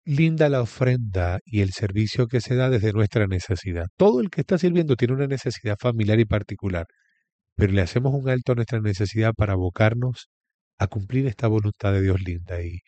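A man's voice: fairly low pitch, lively intonation, brisk, dark tone, no echo. A man from Venezuela, a guest pastor giving his testimony in an interview in an earnest, preacherly storytelling way.

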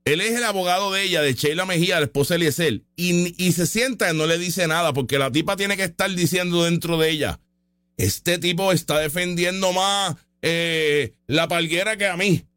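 A Puerto Rican man giving casual, mocking live commentary over a trial, excitable and repetitive. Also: high voice, normal speed, no room sound. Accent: Puerto Rican Spanish. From Puerto Rico. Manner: excitable, mocking, repeats himself. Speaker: online commentator reacting to a trial stream.

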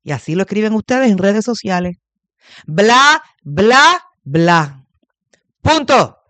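A man's voice: high-pitched, very animated, slow, sharp tone, dry recording. A man with a Puerto Rican accent hosting a morning radio show, giving blunt, emphatic commentary straight to his listeners from a studio mic. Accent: Puerto Rican Spanish. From Puerto Rico.

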